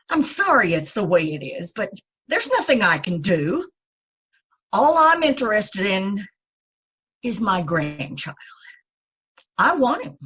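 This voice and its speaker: A woman playing a bitter breathy grandmother. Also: mid-range voice, fairly bright tone, heavily acted. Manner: halting, bitter, resentful delivery. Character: bitter grieving grandmother, widowed and alone. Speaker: radio drama actor. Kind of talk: 1950s radio drama acting.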